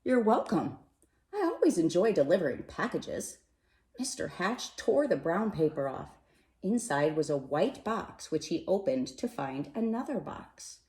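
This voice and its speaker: A woman reading a children's picture book aloud in an expressive, animated way, with dramatic pauses and emphasis. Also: fairly low pitch. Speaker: storybook reader. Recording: roomy, echoey sound.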